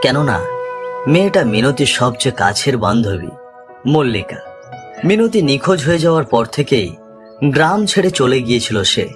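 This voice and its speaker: A man's voice: mid-range voice, sing-song, normal speed, pauses often. A man narrating a scripted ghost story in a dramatic storytelling style. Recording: music behind the voice. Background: music.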